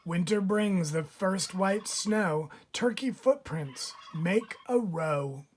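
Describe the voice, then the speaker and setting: Woman storybook reader, lowish pitch, very slow and expressive delivery for kids, noisy recording with turkey calls. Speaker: picture book reader. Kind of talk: read-aloud of a rhyming children's storybook. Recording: clear background noise, bird calls. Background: turkeys gobbling and clicks.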